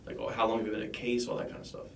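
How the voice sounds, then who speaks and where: A man's voice: fairly low pitch, monotone, racing, vocal fry, slight background noise. A man co-hosting a podcast, eagerly firing off follow-up questions in a casual chat. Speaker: podcast co-host. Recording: echoey room, far from mic.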